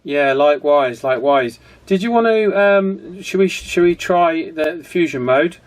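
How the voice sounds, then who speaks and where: A man's voice: on the high side, animated, a little slow. A man chatting casually as he hosts a radio hobby video, hesitant and conversational with frequent tag questions.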